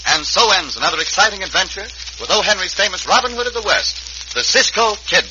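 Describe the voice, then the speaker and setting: High, animated male old-time radio announcer. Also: fairly quick. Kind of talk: 1940s-50s radio announcing. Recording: muffled old radio recording with music.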